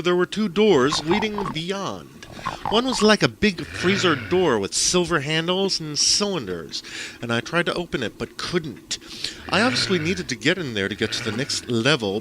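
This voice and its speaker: A man's voice: a little high, sing-song, normal speed, background noise. A man reading scripted, surreal first-person stories as an audiobook narrator, on a home recording.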